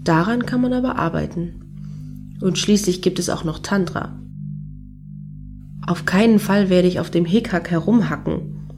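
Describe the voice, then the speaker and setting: An actress playing a wry, self-indulgent clubgoer in a comic radio play, talking articulately and with irony. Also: female voice, on the low side, normal speed, pauses often, close to an everyday voice. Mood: wry, musing. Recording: music behind the voice.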